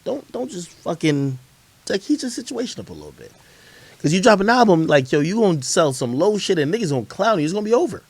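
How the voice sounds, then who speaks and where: A man's voice: sits high, big pitch swings, fast talker, a little mellow, slightly rough, dry recording. A man streaming hip-hop commentary, talking in loose, profane slang and often repeating phrases as he answers viewers. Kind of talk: casual livestream chat answering viewer questions.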